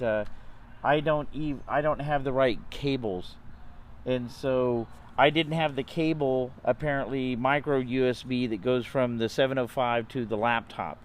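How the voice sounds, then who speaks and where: A man with a mid-range voice explains things off the cuff for an amateur radio vlog. His delivery is halting and hesitant, stopping and picking up again mid-sentence. He is recorded outdoors, with clear ambient noise behind him. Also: medium pitch.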